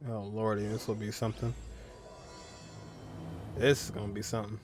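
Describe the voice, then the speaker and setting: A man's voice: on the low side, monotone, normal speed, over music. A man hosting a casual let's-play, talking in bemused asides and mild exclamations. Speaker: gaming video host.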